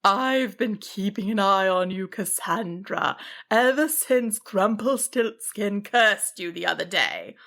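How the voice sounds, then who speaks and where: A woman voicing a big, kind but fearsome female dragon in a storybook, drawn out and booming. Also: animated, slow, fairly bright tone. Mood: kindly.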